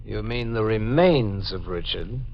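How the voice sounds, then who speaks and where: An actor playing a sinister, sardonic man with a deliberate, mocking manner, in 1940s radio drama style. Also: fairly low pitch, animated, gravelly. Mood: taunting. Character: sardonic, sinister male relative, coolly taunting. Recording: muffled vintage 1940s radio recording.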